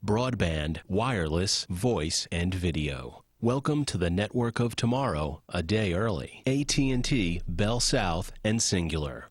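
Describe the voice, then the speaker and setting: A man's voice: low-pitched, expressive, a little slow, hardly any pauses, dry recording. A man reading a scripted sponsorship message as an announcer.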